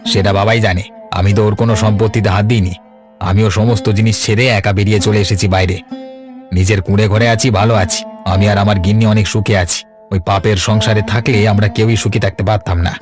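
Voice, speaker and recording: A man playing a proud younger brother and uncle in an animated family drama, firm and matter-of-fact. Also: fairly low pitch, brisk, soft background music, close to an everyday voice. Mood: resentful, firm.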